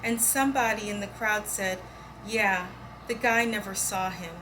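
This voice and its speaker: A woman's voice: mid-range voice, fairly even intonation, a little slow, sharp tone, poor audio. A woman reading poems aloud as a poetry reader. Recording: clear background noise, room ambience.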